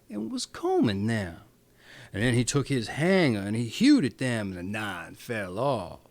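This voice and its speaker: A man narrates a storybook fairy tale in a theatrical, emphatic way. His pitch is mid-range but swings widely from phrase to phrase. The sound is close and dry, with no room around the voice. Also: no echo. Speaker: storyteller reading fairy tales.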